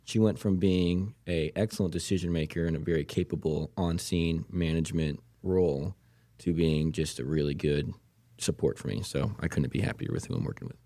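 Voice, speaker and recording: Deep, mellow-voiced young American man. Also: moderate pace, no room sound. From Georgia, US. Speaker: guest paramedic. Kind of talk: casual podcast conversation.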